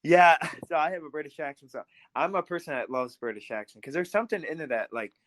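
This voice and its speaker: A man's voice: fairly high pitch, fast talker, fairly bright tone. A man co-hosting a podcast, talking with enthusiasm in loose, rambling sentences. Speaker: podcast co-host. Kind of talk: casual interview chat.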